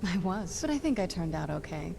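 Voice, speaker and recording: A woman's voice: lowish voice, sing-song, brisk, creaky. An actor, a woman, playing a young female vampire companion in a video game, casual, wry, curious and adventurous. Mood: wry, reminiscing. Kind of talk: video game character dialogue. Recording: loud game ambience noise behind.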